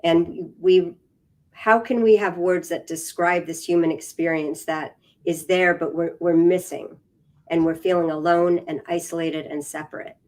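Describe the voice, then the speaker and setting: A woman's voice: on the low side, steady tone, unhurried, darkish tone. Female moderator posing thoughtful questions. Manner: searching, thoughtful questions with restarts. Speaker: panel moderator. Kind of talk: moderating a panel discussion.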